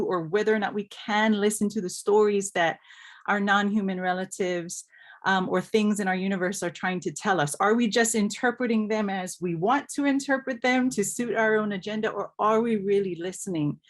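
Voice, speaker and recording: A woman from Hawaii speaking as a scholar on a panel, earnest and reflective, repeating phrases for emphasis. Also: mid-range voice. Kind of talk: reflective panel talk with storytelling.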